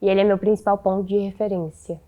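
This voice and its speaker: A twenty-year-old woman, a photographer, speaks with a very even pitch. She explains her ideas steadily, with little rise or fall. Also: fast talker. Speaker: photographer, co-creator of the book. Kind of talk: interview answers.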